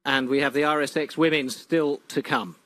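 A man presenting a sailing broadcast, commenting on the race and interviewing athletes in a brisk, chatty way. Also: on the high side, steady tone, fairly bright tone, dry recording.

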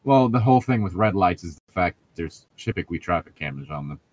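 A man's voice: deep voice, animated, fast talker, darkish tone, no room sound. A man chatting casually as a co-host, reading a book aloud and mocking it in a sarcastic, sweary, slangy way. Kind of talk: casual comedic chat and mock read-aloud.

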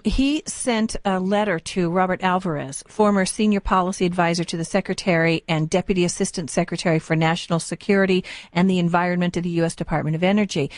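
A woman's voice: lowish voice, dry recording. American woman hosting talk radio, dry studio sound. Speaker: radio talk show host. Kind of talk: live call-in radio interview. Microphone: close broadcast studio microphone.

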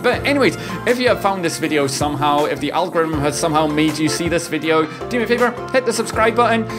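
A man in his thirties giving casual, exclamatory reaction commentary as a streamer, often repeating his phrases. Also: on the high side. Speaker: reaction video host, streamer. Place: indoor home streaming room. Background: music from the trailers being watched.

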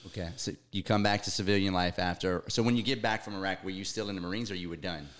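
A man's voice: deep voice, fairly even intonation, fast talker, a bit bright. A man hosting a casual interview, prompting his guest with questions and short affirmations. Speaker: podcast host.

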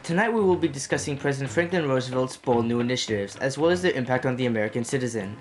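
A teenage man playing a composed, formal 1930s radio host, speaking in a measured, dry way from a script. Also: mid-range voice, animated, a faint crowd, lightly acted. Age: teens. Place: small wood-panelled room indoors. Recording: music playing over the voice.

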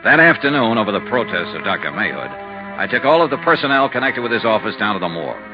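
A man's voice: fairly low pitch, big pitch swings, fast talker, music in the background. An actor playing a hard-boiled investigator narrating his case in terse, matter-of-fact 1950s radio style. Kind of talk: first-person 1950s radio detective narration. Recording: dull, noisy 1950s radio recording.

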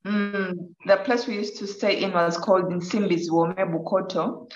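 A woman's voice: medium pitch, steady tone, normal speed. A woman with a Ugandan accent, a life coach speaking casually as an interview guest over a video call from a room. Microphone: video call device. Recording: roomy, slightly dull video call.

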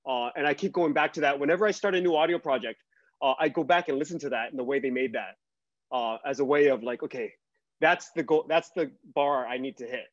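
A man's voice: medium pitch, brisk, no echo. A middle-aged man talking conversationally as a guest on a panel, reflective and hesitant, telling things through anecdotes. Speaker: guest writer and podcaster. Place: small bright home room. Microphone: video call, earbuds. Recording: dull, lo-fi video call audio.

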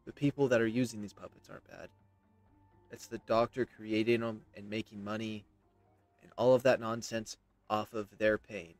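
A man's voice: on the low side, steady tone, normal speed, a little mellow. A man in his thirties giving casual, opinionated, emphatic commentary as he plays a video game. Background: faint game music.

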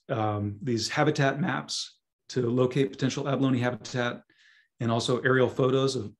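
A hoarse man who is losing his voice, giving a webinar talk over a video call with many ums and restarts. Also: medium pitch. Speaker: guest speaker, scientist. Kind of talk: webinar presentation.

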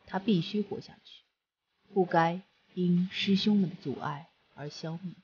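Stern young male immortal, read by a woman, low and measured. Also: dull audio, slight background noise, natural voice. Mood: grave.

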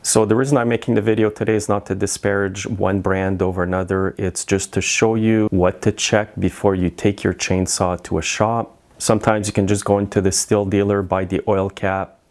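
Male shop mechanic, 40s, deep voice, matter-of-fact how-to delivery. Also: level tone, normal speed, few pauses, a little mellow. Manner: plain, practical, matter-of-fact explaining. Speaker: small engine repair mechanic. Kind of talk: how-to repair tutorial. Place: small engine repair shop, indoors.

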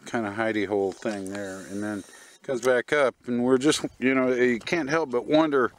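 An older man giving casual, halting, musing commentary while hiking outdoors, recorded on a handheld camera. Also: mid-range voice, fairly even intonation. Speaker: hiker narrating a trail video. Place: outdoors on an open hillside trail.

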